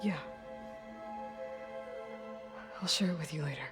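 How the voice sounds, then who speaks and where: A woman's voice: low voice, racing, natural voice. A woman playing a wry, practical young heroine in a video game, dry and understated in delivery. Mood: matter-of-fact. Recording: loud music behind.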